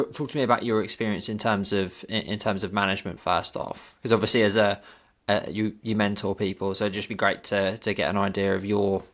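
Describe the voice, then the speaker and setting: A man's voice: fairly low pitch, a bit bright. A man hosting a leadership interview, asking long, loosely worded questions with frequent restarts. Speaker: podcast host.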